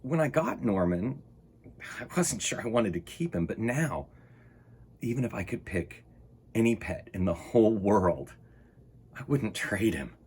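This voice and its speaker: A man reading a storybook aloud as a young boy who is wary and then fond of his pet, with a husky voice and an expressive, dramatic delivery. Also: fairly low pitch, unhurried, long pauses, pitched below the performer's own voice, full character voice. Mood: fond, reflective. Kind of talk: animated storybook read-aloud.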